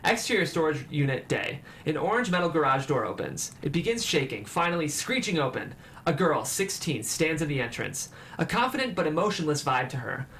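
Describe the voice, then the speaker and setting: A man's voice: a little high, very animated, brisk, fairly bright tone. A man reading scripted stage directions as the narrator of a table read. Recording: clear background noise.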